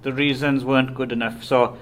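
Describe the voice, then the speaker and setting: A man's voice: mid-range voice, flat delivery, brisk. Dry, self-mocking man on a podcast. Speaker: podcast co-host and author. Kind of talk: casual chat.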